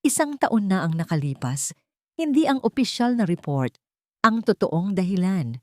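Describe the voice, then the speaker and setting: A woman's voice: mid-range voice, sing-song, moderate pace, warm tone, dry recording, clean studio sound, lightly acted. A woman with a Filipino accent voicing a serious, urgent billionaire businessman in a dramatic audio story. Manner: serious, weighty, pressing.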